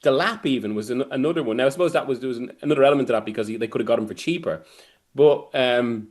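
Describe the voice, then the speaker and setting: A man giving football analysis as a guest journalist, rambling and often interrupting himself as he works through a point. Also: medium pitch. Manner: halting, rambling, self-interrupting delivery. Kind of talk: sports discussion, analysis.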